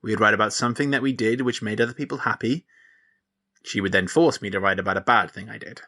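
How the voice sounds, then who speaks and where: A man's voice: on the low side, fast talker, bright, forceful tone. An actor playing an earnest, sentimental young man in an audio drama, speaking sincerely like a lonely true believer. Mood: earnest, nostalgic. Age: young adult. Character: earnest, sentimental grown man who still believes in Santa. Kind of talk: naturalistic audio drama dialogue.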